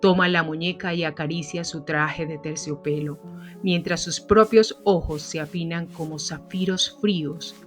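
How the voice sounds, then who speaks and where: A woman narrating a scripted gothic mystery story in a measured, solemn manner. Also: deep voice, fairly even intonation, soft background music.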